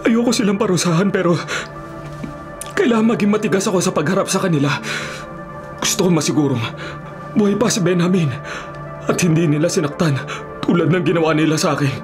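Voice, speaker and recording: A man playing a powerful Filipino governor in a radio drama, measured and solemn, stern but secretly wounded. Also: sits high, over music, natural voice. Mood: troubled, reflective.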